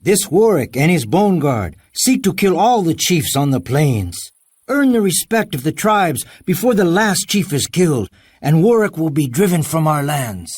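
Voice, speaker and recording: An actor playing a grave tribesman of the plains giving counsel in a video game, with a husky voice. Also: on the high side, sing-song, moderate pace, a little mellow. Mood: urgent, grave. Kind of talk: video game cutscene dialogue.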